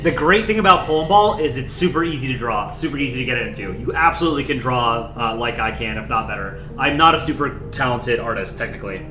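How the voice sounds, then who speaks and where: A young man hosting a casual livestream, chatting in a relaxed, rambling way and thanking viewers by name. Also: medium pitch, quick pace, few pauses, reverberant, over music. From United States. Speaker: livestream host. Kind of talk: casual chat.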